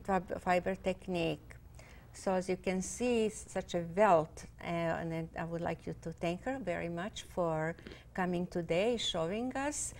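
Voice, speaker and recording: A woman's voice: lowish voice, a little slow, darkish tone. A middle-aged woman hosting a cable fashion interview show, speaking in with many fillers and hesitations. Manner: hesitant, many fillers, non-native grammar.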